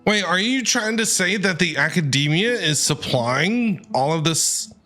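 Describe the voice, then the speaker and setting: A man reacting live to a game as a streamer, casual, expressive and exclamatory. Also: high voice, measured pace, soft background music.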